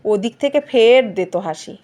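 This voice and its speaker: A woman's voice: mid-range voice, lively intonation, normal speed, dark tone. A woman reading a ghost story aloud as a dramatic scripted narration. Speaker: story reader.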